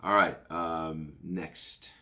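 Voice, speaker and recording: A man's voice: rumbling low, steady tone, extremely slow, bright, forceful tone. A middle-aged man hosting his own podcast from a home studio, rambling cheerfully, a bit stuffed up from a cold. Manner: rambling, upbeat, self-correcting. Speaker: podcast host. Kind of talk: casual solo podcast chat. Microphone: studio mic on boom arm, headphones.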